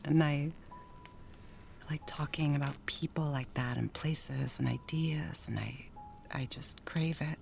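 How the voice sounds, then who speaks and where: An actress playing Jerry, a troubled, wistful, newly sober wife in a 1930s radio drama. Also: female voice, deep voice, takes it slowly, gravelly, darkish tone, slight background noise, exaggerated voice. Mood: wistful, pleading.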